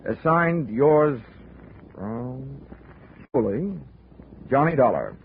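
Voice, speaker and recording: A wry wisecracking investigator. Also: male voice, mid-range voice, animated, slow pace, a little hiss or hum. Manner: wry, dry asides, hesitant fillers. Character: wisecracking male insurance investigator, adult. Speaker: narrator of own case. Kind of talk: 1940s radio drama narration. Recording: tinny 1940s radio broadcast, faint music.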